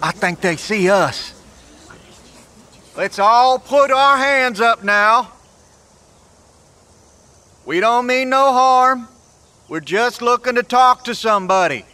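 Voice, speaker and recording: A man's voice: extremely high pitch, expressive, takes it slowly, pauses often, sharp tone, heavily acted. A man playing an earnest, folksy survivor who leads a small group, plain and polite in an audio drama. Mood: wary, placating.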